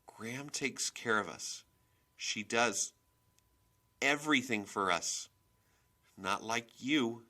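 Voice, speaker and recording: A man voicing a timid young girl in a storybook, speaking in a shaky, halting, pleading way as she stands her ground. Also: mid-range voice, sharp tone, voice pushed up. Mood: hurt, trembling defiance.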